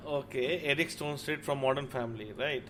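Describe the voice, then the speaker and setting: A man's voice: mid-range voice, fairly even intonation, a little fast, a bit bright. Man chatting over noisy voice chat. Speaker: podcast co-host. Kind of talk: casual group discussion.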